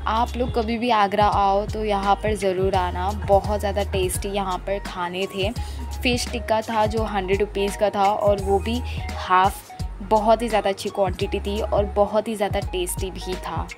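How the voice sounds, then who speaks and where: A woman's voice: mid-range voice, little pitch movement, moderate pace. A young Indian woman narrating a casual travel and food vlog, slipping English phrases into her speech. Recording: music loud behind the voice. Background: loud music.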